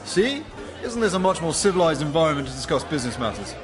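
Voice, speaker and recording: An actor playing a fussy, polite crime boss in a sitcom, apologetic and rambling with genteel menace. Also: male voice, on the high side, very animated, fast, over music, a crowd in the background. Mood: suave, flustered. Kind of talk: studio sitcom acting.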